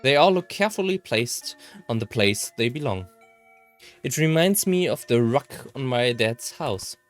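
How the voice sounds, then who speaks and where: Slow, measured visual novel narrator. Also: mid-range voice, lively intonation, soft background music.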